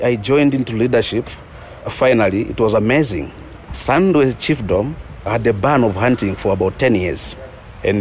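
A man with a Zambian accent telling his own story informally, halting and repeating words as he goes. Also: medium pitch, a little mellow, a little hiss or hum. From eastern Zambia. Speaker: community conservation leader. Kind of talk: personal storytelling in an interview.

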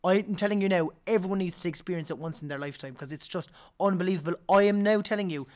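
A man with a Cork Irish accent, hosting a podcast in a chatty, animated way, his voice hoarse from singing all weekend. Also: high-pitched. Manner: chatty, animated, quick to laugh. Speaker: podcast host. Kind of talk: casual chat.

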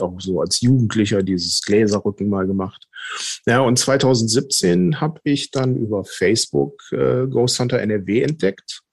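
A man's voice: lowish voice, creaky, breathy edge, dry recording. A man in his early fifties telling his story casually and conversationally as an interview guest. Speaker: podcast guest, team member.

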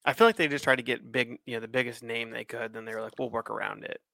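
A man's voice: mid-range voice, fairly even intonation, fast, vocal fry, bright, forceful tone. A man co-hosting a podcast, easygoing and agreeable in casual chat, laughing often. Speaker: podcast co-host. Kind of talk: casual chat over beers.

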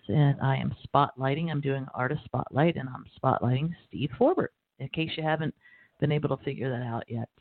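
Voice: medium pitch, creaky, no room sound. A radio host raised in Baltimore, chatting loosely and rambling between songs on a music show. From New Orleans, grew up in Baltimore. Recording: dry, tinny phone-like sound.

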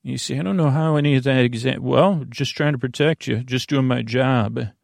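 A man plays a dutiful little brain protector with a creaky, gravelly edge. It explains itself earnestly and hesitantly, a bit defensive, in a dry, close bedtime-podcast recording. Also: mid-range voice, moderate pace, a little mellow, no echo, natural voice. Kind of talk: improvised comic dialogue in a bedtime podcast.

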